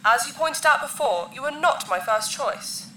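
Echoing, high-voiced alien insectoid queen. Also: expressive, sharp tone, recorded from a distance, slight background noise. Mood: composed, formal. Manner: formal, imperious, measured phrasing. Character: commanding eight-foot female insectoid alien queen, solemn and regal. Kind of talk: sci-fi audio drama acting.

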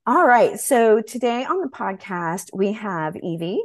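A woman's voice: mid-range voice, animated, measured pace, faint music behind. A woman in her forties hosting a podcast in a casual, candid, emphatic and informal way. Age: 40s. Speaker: podcast host. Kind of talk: casual chat.

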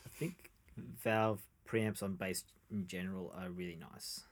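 Male co-host, lowish, easygoing, chatty agreement. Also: unhurried. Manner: relaxed, short agreeing replies and musing asides. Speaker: podcast co-host. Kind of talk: casual chat.

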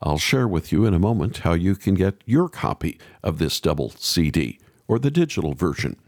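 A man hosting a radio interview podcast, speaking in a measured way with emphatic pauses. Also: deep voice, big pitch swings, a little mellow, dry recording, clean studio sound. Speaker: podcast host.

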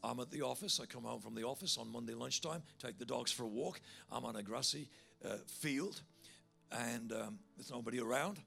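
Male preacher with a big voice and a heavy gravelly edge, bright and forceful tone, music under him. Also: mid-range voice, moderate pace. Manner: animated storyteller, building to loud fervent altar call. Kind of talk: sermon with storytelling.

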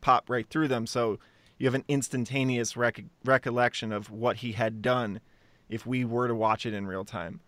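A man's voice: mid-range voice, fairly bright tone, no room sound. A man co-hosting a casual podcast discussion, thinking aloud in a conversational way. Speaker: podcast co-host.

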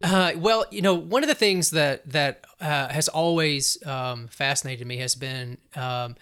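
A man answering as an interview guest, reflective and deliberate, halting often as he picks academic wording. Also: a little high, fairly bright tone, smooth. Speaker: interview guest, multilingual writing specialist.

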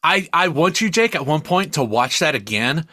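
A man's voice: on the high side, animated, normal speed, sharp tone, no room sound, studio quality. A man co-hosting a podcast, chatting casually and enthusiastically about media, with plenty of swearing. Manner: profane, enthusiastic. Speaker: podcast co-host.

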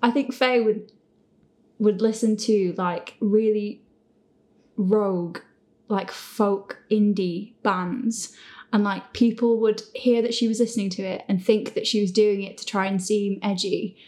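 A woman with a mid-pitched voice hosts a casual group Q&A. She is informal and giggly, responding readily to the others as she talks. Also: fairly even intonation, a little slow, darkish tone. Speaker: podcast host reading questions.

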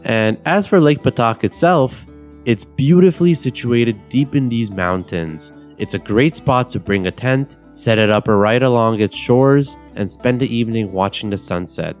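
A young man from Bulgaria hosting a podcast, guiding a meditation in a calm, measured, soothing way. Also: mid-range voice. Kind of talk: guided meditation narration. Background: soft guitar music.

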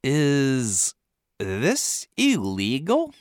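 A man's voice: medium pitch, huge pitch swings, extremely slow, no room sound, studio quality, exaggerated voice. A man voicing a hesitant, doubtful young woman who manages a shoe store, in a comic memoir.

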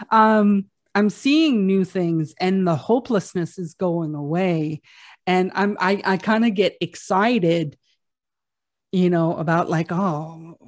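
A woman's voice: on the low side, a little mellow. A woman in her fifties hosting a podcast, chatting openly about herself with frequent restarts. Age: 50s. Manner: chatty and self-revealing, with frequent restarts and laughs. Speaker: podcast host. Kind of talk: casual interview chat.

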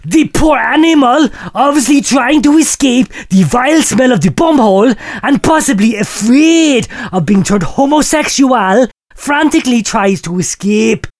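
A man hosting a comedy podcast, reading out listener letters in a mock-solemn, preacherly delivery that keeps breaking into laughter. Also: very high-pitched.

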